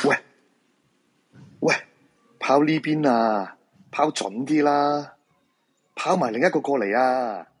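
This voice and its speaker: A man's voice: medium pitch, wildly swooping pitch, slow, pauses often, slightly rough, exaggerated voice. A man with a Hong Kong Cantonese accent acting a weary, persuasive feng shui master in a supernatural radio drama. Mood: urgent.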